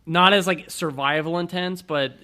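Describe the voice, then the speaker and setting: A man's voice: a little high, steady tone, moderate pace, bright, forceful tone. A man co-hosting a film podcast, talking casually and informally with some slang. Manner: informal, slangy, occasionally profane. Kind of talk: casual movie discussion.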